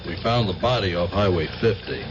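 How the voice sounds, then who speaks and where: An actor playing a methodical police lieutenant who questions in a matter-of-fact way in a radio drama. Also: male voice, low voice, fairly quick, natural voice. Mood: skeptical, pressing. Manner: questioning, hesitant filler murmurs, matter-of-fact. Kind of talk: 1970s radio drama acting. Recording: dull old radio recording, clear noise.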